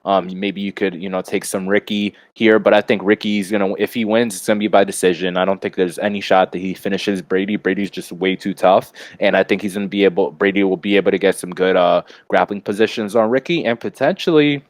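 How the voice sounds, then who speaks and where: Male podcast co-host, low register, casual rambling sports analysis, close dry sound. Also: steady tone, brisk, hardly any pauses, dry recording. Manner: rambling, frequent "you know" asides. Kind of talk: casual fight analysis and betting commentary.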